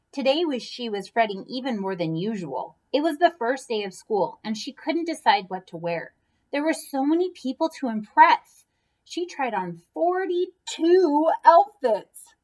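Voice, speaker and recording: A woman reading a picture book aloud for children in an expressive storytelling style. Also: on the high side, poor audio.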